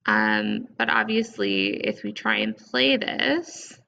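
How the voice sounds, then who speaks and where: A woman with heavy vocal fry and a bright, fairly high voice walks through software steps as a tutorial presenter. Her delivery is informal and conversational. The recording is somewhat dull and lo-fi. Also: huge pitch swings, takes it slowly, a little hiss or hum. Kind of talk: casual step-by-step software screencast tutorial. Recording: dull, lo-fi microphone sound.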